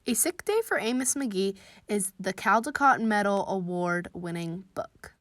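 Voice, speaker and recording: A woman reading a children's picture book aloud, expressive and warm, with a bright lift when she greets the listeners. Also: medium pitch, a little slow. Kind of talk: storybook read-aloud for kids.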